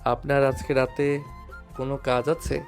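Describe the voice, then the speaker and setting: A man acts out a young husband and father, playful and caring, in a romantic audio drama. His voice is mid-pitched, with music playing softly behind it. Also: flat delivery, unhurried, natural voice. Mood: soft, casual. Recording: music under the voice.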